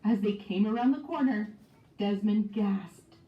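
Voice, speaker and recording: A woman's voice: medium pitch, measured pace. A woman narrating a children's storybook expressively, acting out the dialogue as she goes. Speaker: storybook read-aloud narrator. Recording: dull, echoey, distant microphone.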